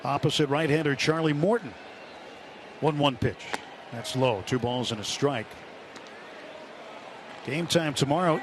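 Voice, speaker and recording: Male baseball radio announcer, higher-register voice, live play-by-play over clear ballpark noise. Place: broadcast booth at a ballpark. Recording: noisy stadium radio broadcast. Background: steady ballpark noise.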